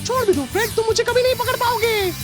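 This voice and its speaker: A woman voicing a playful, cocky little shapeshifting sidekick in an animated cartoon dub, gleeful and cheeky. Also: very high voice, quick pace. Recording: loud music behind the voice.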